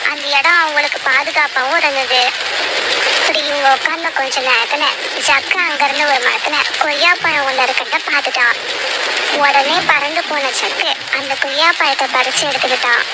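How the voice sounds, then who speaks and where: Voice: sits high, fairly even intonation, normal speed. A storyteller reading a scripted children's tale in narration. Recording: music and noise behind voice.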